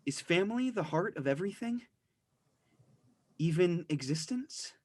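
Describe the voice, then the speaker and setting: An actor playing a sensitive, troubled teenage vampire, a meek younger brother, in a dramatized play script reading. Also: male voice, high-pitched, animated, moderate pace. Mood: pensive.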